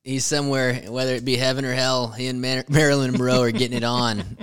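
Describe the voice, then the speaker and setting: A man's voice: mid-range voice, little pitch movement, quick pace, a bit bright, clean tone. A man co-hosting a casual podcast, teasing his partner with crude jokes and laughter. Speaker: podcast co-host. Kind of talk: casual comedic chat.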